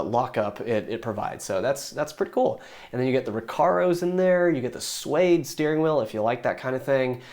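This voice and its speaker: Hoarse, chatty young man explaining. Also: mid-range voice, a little mellow. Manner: enthusiastic, rambling with fillers and restarts. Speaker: YouTube car reviewer. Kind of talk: casual explainer talk.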